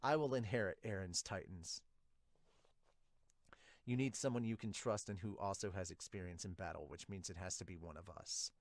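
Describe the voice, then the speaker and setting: A man's voice: sits low, moderate pace, no room sound, clean studio sound. A man voicing a proud, sensible young male soldier in a manga dub, assertive and matter-of-fact. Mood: resolute.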